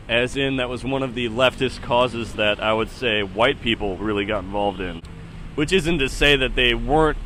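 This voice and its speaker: A man's voice: on the low side, a bit bright, slight background noise. A man in his thirties giving casual, rambling spoken commentary in a hesitant, musing way that often trails off. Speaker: solo podcast host.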